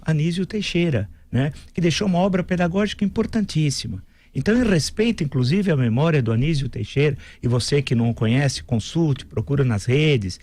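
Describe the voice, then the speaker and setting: A man's voice: medium pitch, darkish tone, no room sound. A Brazilian man giving an opinionated on-air commentary, speaking emphatically, with insistent repetition and frequent rhetorical asides. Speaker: political commentator.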